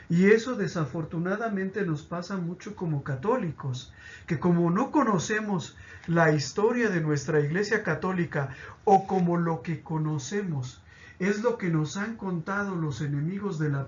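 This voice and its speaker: A man's voice: sits high, lo-fi sound. A man teaching a pastoral catechism lesson, explaining with examples and often checking in with "¿sí?".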